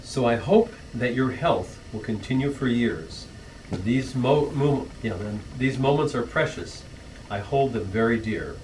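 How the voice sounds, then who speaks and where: Son reading a rhyming poem from afar. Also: male voice, mid-range voice, expressive, unhurried, echoey room, far from the mic, noisy background. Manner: earnest, with small stumbles and soft laughs. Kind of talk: reading his own rhyming poem aloud. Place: church hall.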